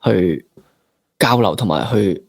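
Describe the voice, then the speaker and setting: A man's voice: low voice, animated, slow pace, slightly rough, dry recording. A man in his late twenties with a Hong Kong Cantonese accent, hosting a conversational interview reflectively and slipping in English words. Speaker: interviewer, fellow actor.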